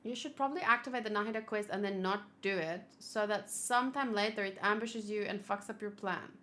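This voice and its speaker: A woman chatting casually on a gaming livestream, thinking aloud in an expressive, chatty way. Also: mid-range voice, fairly quick. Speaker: video game streamer. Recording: clear background noise.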